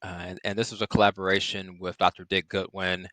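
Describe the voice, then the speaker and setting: A middle-aged man from Chicago, a psychiatrist guest on a podcast, speaking in a measured way with many "um" fillers. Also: deep voice, flat delivery, a bit bright, dry recording, rough recording. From Chicago, Illinois. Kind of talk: podcast interview.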